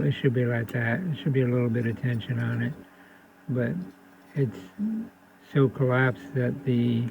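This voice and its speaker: A man's voice: medium pitch, gravelly, a little hiss or hum. A man casually explaining a repair at his workbench, rambling with frequent ums and restarts. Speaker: radio restoration hobbyist host. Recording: tinny phone-like sound.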